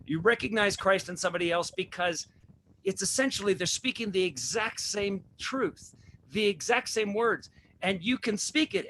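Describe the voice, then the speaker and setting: A man's voice: sits high, sharp tone, slight background noise, rough recording. A man hosting a religious talk show, preaching with repeated rhetorical questions. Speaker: host.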